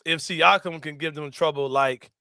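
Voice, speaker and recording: Bright-voiced sports host. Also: male voice, fairly high pitch, normal speed, clear, resonant tone, dry recording, studio quality. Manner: animated, slangy, laughs a lot. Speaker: sports talk show host. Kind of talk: casual sports chat.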